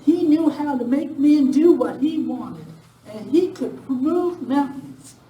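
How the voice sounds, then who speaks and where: Echoey live radio Western: sly, theatrical outlaw widow played by a woman, swooping high voice, folksy and indignant. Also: expressive, takes it slowly, warm tone, highs cut off. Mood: defiant, scornful. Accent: put-on Irish brogue turning Texan. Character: sly Irish-posing widow, secret outlaw gang mastermind. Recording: echoey room, distant mic, faint noise.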